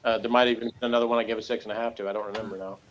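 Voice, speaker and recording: A man's voice: lowish voice, flat delivery, very fast, lo-fi sound, slight background noise. A man co-hosting a casual review chat, talking in a rambling, opinionated and sardonic way. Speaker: co-host of a TV episode review show.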